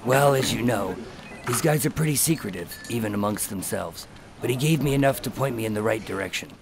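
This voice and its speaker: A man playing an undercover agent in a radio drama, telling his story with deadpan, wry tension. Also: fairly low pitch, fairly quick, creaky, background noise. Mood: earnest. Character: wry, nervous undercover agent posing as mob enforcer. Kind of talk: first-person noir radio drama narration and dialogue.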